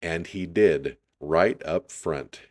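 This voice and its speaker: A man reading a children's story aloud in an unhurried, deliberate storytelling style. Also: sits low, a little mellow.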